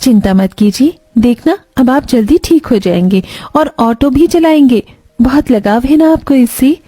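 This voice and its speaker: A woman's voice: sits high, lively intonation, a little slow, mellow, breathy edge, dry recording, soft background music, heavily acted. A woman voicing a kind, diligent young daughter-in-law in a cartoon story, polite and reassuring. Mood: gentle, reassuring.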